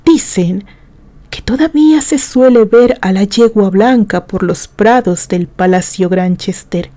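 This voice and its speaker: A woman from Mexico reads a story aloud at an unhurried pace. Her voice is mellow and soft, and she drops to a gentle hush at times. She keeps a calm, scripted storytelling tone throughout. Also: mid-range voice. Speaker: narrator. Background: background music.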